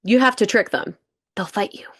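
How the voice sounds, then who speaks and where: A woman playing a dry, matter-of-fact senior office worker in a script table read, deadpan and instructing. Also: mid-range voice, normal speed, lightly acted. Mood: brisk, instructing.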